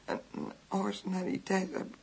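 A middle-aged lonely, hard-drinking mother with a husky, unclear voice. She speaks haltingly and slurs, repeating herself in a bitter, weary radio play manner. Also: mid-range voice, monotone, darkish tone, exaggerated voice. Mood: anxious, weary. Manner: halting, repetitive, groaning and sighing. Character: bitter, lonely alcoholic mother, married to a policeman. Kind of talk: radio drama acting.

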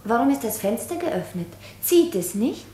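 An actress playing an attentive, composed young nurse in a 1950s German radio drama. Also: female voice, very animated, moderate pace, mellow. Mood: calm concern. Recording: roomy, muffled old radio recording, faint rumble.

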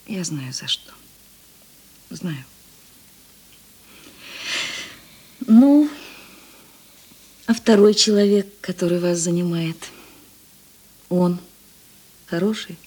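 A woman acting a sensitive, self-doubting theatre actress in a Russian radio play, breathy and hesitant. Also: on the low side, expressive, slow pace, long pauses, full character voice. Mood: tender, hushed. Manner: soft, hesitant, emotional.

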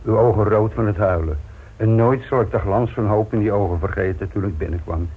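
A man's voice: on the low side, fairly quick, husky. A man playing a persistent police inspector in a radio play, politely apologetic and probing, with hesitant phrasing. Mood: grave, persistent. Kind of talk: Dutch radio play acting. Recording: tinny narrow-band old radio recording, hiss.

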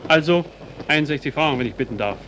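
An actor playing a courteous, matter-of-fact train ticket inspector in a 1940s German radio play, unhurried but insistent. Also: male voice, fairly high pitch, expressive, natural voice. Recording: noisy old radio recording.

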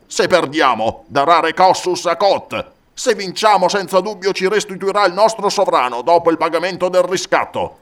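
A man's voice: sits high, lively intonation, normal speed, hardly any pauses, fairly bright tone, pitched above the performer's own voice. A man voicing an elderly, careworn royal chancellor in a fantasy storybook, formal, grave and insistent. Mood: grave, worried.

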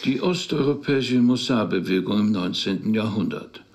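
An actor playing a rabbi in a radio play, measured and questioning, with dry humour and gentle skepticism. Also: male voice, lowish voice, lively intonation. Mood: dryly skeptical.

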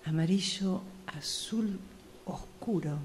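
A woman playing an expressive figure in a radio drama exercise, drawing out and repeating single words. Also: fairly low pitch, slow pace, breathy edge, heavily acted. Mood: dramatic.

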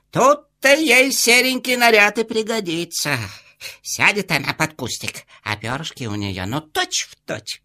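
Bright, cheeky little hare. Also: female voice, fairly high pitch, heavily acted. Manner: chatty, explanatory, colloquial. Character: cheeky, knowing young male hare, reassuring neighbour. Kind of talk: children's audio play acting.

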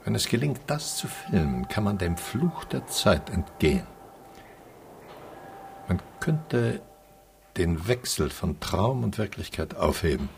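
A man's voice: low voice, very animated, slow pace, vocal fry, darkish tone, slight background noise, full character voice. An actor in a radio drama playing a philosophical man who muses earnestly and reflectively. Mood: musing. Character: musing, philosophical man pondering truth, time and nature.

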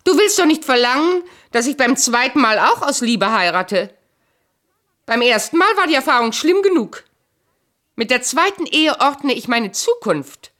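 A woman acting a proud, bitter, calculating queen and abandoned wife in a German radio play, speaking in a cool, sharp-tongued, imperious way. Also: sits high, very animated, measured pace, full character voice. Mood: cold, bitter.

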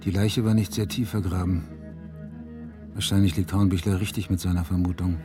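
Man acting a weary detective, low-pitched, terse, brooding, radio play, prominent music underneath. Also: flat delivery, brisk, close to an everyday voice. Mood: brooding, reflective. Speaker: lead actor and narrator in a crime radio play. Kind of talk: German radio drama acting, inner monologue.

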